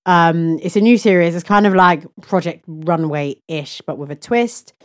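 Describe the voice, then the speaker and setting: A woman's voice: fairly low pitch, a little slow. A woman co-hosting a podcast in casual, chatty conversation, talking informally with plenty of slang. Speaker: podcast co-host. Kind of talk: casual chat.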